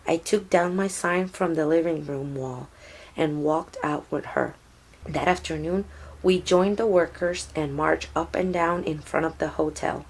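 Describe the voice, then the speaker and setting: Slow-paced woman reading a children's story. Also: sits low, breathy edge, low-quality recording. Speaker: storybook reader. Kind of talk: read-aloud children's story narration.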